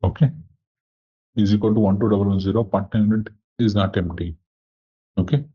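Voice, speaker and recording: A man working as a software trainer, walking through a live demo step by step, thinking aloud and asking himself questions. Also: on the low side, fairly even intonation, fairly quick, slightly rough, dull audio.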